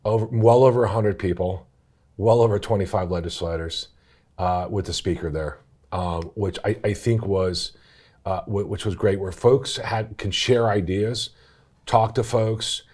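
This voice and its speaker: A man's voice: fairly low pitch, fairly even intonation, a little slow, a little mellow. A man speaking as an interview guest in a casual, emphatic way, often repeating his phrases. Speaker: interview guest, business PAC chair.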